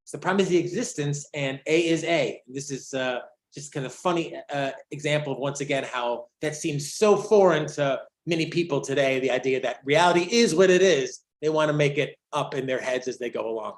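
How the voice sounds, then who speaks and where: A man on a casual panel discussion, talking deliberately and slowing down to stress key phrases. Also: a little high. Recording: roomy, echoey sound.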